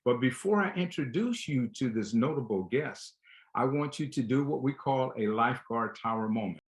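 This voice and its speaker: A man's voice: medium pitch, lively intonation, moderate pace. A man hosting a talk show, speaking conversationally and directly to his listeners and guest. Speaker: show host. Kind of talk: conversational show introduction and interview.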